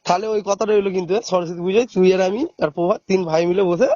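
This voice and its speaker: A man's voice: high-pitched, very fast, warm tone, no echo, muffled recording. A young man chatting casually on phone calls as a prank caller, hesitant and coaxing. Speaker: prank caller, vlog host. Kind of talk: casual phone chat with friends.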